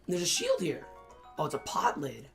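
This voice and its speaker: A hoarse young man in college hosting a casual, excitable let's-play commentary with lots of fillers. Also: fairly high pitch, moderate pace, soft background music. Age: young adult. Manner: excitable, chatty, many fillers. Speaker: gaming channel host.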